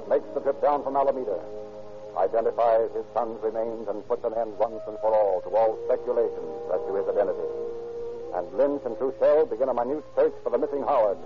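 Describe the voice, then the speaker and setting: A man's voice: medium pitch, brisk. Dramatic radio narrator guy, muffled old recording, music underneath. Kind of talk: scripted 1940s radio narration.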